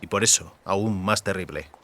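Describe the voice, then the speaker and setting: A man's voice: low-pitched, moderate pace, pushed and bright. A man reading scripted fantasy fiction as an audiobook narrator.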